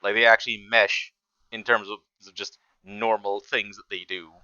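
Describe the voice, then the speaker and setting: Low, bright-voiced man reacting casually. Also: flat delivery, smooth, no room sound, muffled recording. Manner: halting, repeats words and phrases. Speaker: reaction video host.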